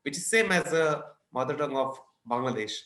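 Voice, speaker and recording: A man's voice: fairly high pitch, lively intonation, a little fast, a bit bright, echoey room. A man in his twenties from eastern India, a native Bengali speaker, chatting as a podcast guest in an enthusiastic, chatty way. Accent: Indian English. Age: 20s. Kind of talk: casual interview chat.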